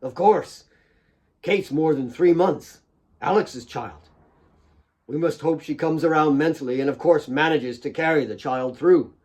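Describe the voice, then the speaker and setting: A man voicing a troubled doctor, grave and halting, upset but trying to stay composed. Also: fairly high pitch, a little mellow, pitched above the performer's own voice. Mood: uneasy.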